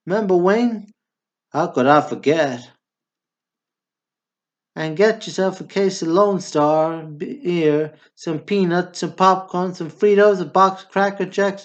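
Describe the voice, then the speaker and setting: Slow-talking actor as a blunt small-town Texan man. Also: high voice, highs cut off. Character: two Texan brothers, a drunk mean war veteran and his brother. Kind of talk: stage play dialogue.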